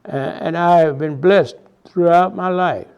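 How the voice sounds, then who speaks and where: A man in his nineties with a Texas Southern accent speaks very slowly in a slightly raised voice. He stops and restarts often as he remembers. The recording is dull and lo-fi. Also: on the high side, darkish tone, clear, resonant tone. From Houston, Texas. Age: 90s. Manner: halting, many repeated words and restarts. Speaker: interviewee, oral history. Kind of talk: reminiscing oral history interview.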